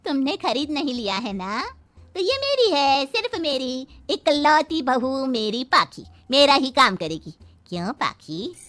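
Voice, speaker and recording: A woman's voice: high-pitched, sing-song, a little slow, a bit bright, exaggerated voice. A woman voicing a possessive, quarrelsome old mother-in-law in a cartoon, bossy and wheedling.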